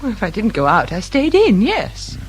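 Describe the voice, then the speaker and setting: A woman's voice: medium pitch, huge pitch swings, moderate pace, slightly rough, exaggerated voice. An actress with a British accent playing an anxious, guarded grieving mother in a radio crime drama, speaking politely and hesitantly. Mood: guarded, defensive.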